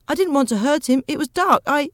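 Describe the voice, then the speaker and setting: A meek, flustered husband in an audio storybook, voiced by a woman. He stammers defensively and hesitates, his pitch raised and very mobile. The recording is dry. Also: lively intonation, brisk, dry recording. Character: timid, flustered gentle giant of a husband.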